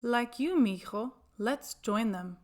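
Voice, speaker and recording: A woman voicing a calm, loving grandmother in a storybook, gentle and matter-of-fact. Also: on the high side, takes it slowly. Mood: encouraging.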